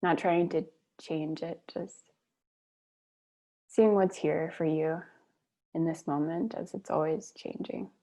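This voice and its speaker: A woman leading a guided meditation on a video call, speaking gently and unhurriedly with long pauses. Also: low voice, steady tone.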